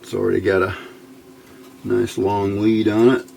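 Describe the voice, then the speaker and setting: Male hobbyist instructor, low and fry-heavy, unscripted and informal, thinking aloud over hands-on steps. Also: level tone, moderate pace, darkish tone, slight background noise. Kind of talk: informal hands-on tutorial narration.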